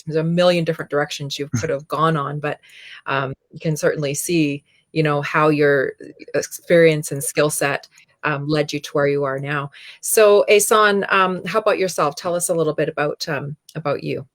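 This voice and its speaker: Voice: mid-range voice, fairly bright tone. An interview host who praises and introduces guests in an effusive, enthusiastic way, with many fillers. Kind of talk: business interview hosting.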